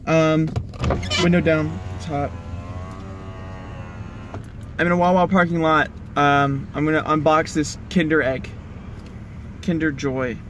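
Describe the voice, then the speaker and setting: A man's voice: a little high, little pitch movement, slow, long pauses, a bit bright. A young man casually vlogging from inside a parked car, rambling and swearing with a laid-back manner, recorded on a dashboard phone. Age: young adult. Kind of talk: casual vlog chat. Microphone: phone camera on the dashboard. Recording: car air conditioning noise behind. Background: air conditioning blowing.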